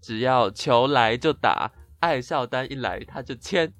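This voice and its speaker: A young man with a Taiwanese Mandarin accent co-hosts a podcast. His voice sits at an average male pitch as he spins comic anecdotes with playful exaggeration. Light music plays underneath. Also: a little slow, a bit bright. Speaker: podcast co-host. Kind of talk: chatty comic storytelling in a two-host podcast.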